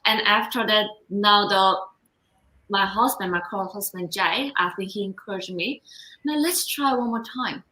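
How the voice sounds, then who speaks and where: A woman in her thirties from South Korea, speaking English with a Korean accent, telling her story as a guest coach with lively, halting phrasing. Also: medium pitch, echoey room, low-quality recording. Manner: expressive, laughs often, halting non-native phrasing. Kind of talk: casual interview storytelling.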